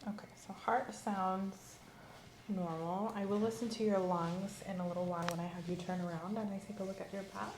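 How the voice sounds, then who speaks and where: A woman speaks softly and calmly, walking through a check-up like a gentle nurse and at times slipping into a whisper. Her voice sits at a middle pitch. The room sounds roomy and echoey, with steady ambience clearly audible behind her. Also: fairly quick, background noise. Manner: calm, gentle, clinical instructions, slipping into whispers. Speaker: ASMR creator playing a nurse. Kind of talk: ASMR medical examination roleplay.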